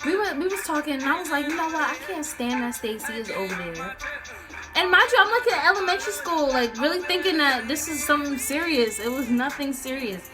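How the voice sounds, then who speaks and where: A young woman with an American accent and a very high-pitched voice tells a casual story straight to her viewers. She is animated and slangy, slipping into acted-out dialogue as she goes. Music plays along behind her voice. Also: brisk. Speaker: storytime vlogger.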